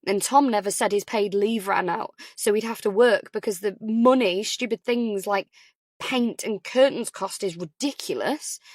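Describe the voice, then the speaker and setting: A woman voicing an anxious, tearful young first-time mother in an audiobook, emotional and hurried. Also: a little high, no room sound, clean studio sound. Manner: emotional, hurried, spilling worries. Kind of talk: audiobook character dialogue.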